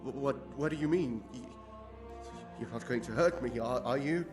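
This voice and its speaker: An actor playing a nervous, evasive monk in a video game, defensive and prone to stammering. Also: male voice, medium pitch, moderate pace, a little mellow, faint music behind, close to an everyday voice. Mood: frightened. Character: nervous, evasive male monk who caves under threat.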